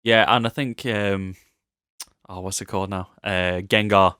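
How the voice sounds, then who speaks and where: A man's voice: low-pitched, fairly even intonation, unhurried, pushed and bright, clean tone, no echo, clean studio sound. A British man talking casually and colloquially as co-host of a film podcast. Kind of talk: casual film review chat.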